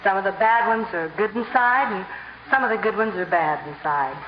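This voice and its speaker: An actress playing a patient, affectionate young sweetheart with a soft, wry manner in an old radio play. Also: female voice, medium pitch, brisk, natural voice. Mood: kindly. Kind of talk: 1950s radio drama acting. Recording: echoey, dull old radio recording, faint noise.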